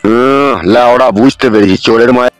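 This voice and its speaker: A man's voice: medium pitch, fairly even intonation, fairly quick, clear, resonant tone, no echo, soft background music, lightly acted. A man voicing an irritable, foul-mouthed older cartoon father with a blunt, scolding manner. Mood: irritated. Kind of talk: crude comedy cartoon dubbing.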